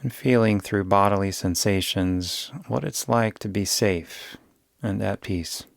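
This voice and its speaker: A man guiding a yoga nidra meditation in a soothing, unhurried way, giving gentle instructions with long pauses. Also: on the low side, gravelly, no echo, clean studio sound. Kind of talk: guided meditation.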